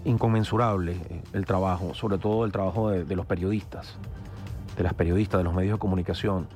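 A man with a Venezuelan Spanish accent hosting a radio news analysis hour, measured and hesitant with many fillers. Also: sits low, a little mellow. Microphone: studio microphone.

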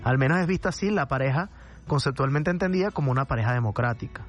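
A man's voice: mid-range voice, fast talker, soft background music. A man speaking as an expert radio guest, carefully explaining and qualifying his points. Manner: analytical, careful, self-qualifying. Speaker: social psychologist guest. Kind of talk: explanatory radio interview talk.

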